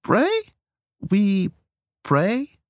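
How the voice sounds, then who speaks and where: Shrill, overwrought suburban hostess. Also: male voice, sing-song, slow, darkish tone, no echo, studio quality, heavily acted. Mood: uncertain. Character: highly strung suburban hostess wife, shrill and hot-tempered.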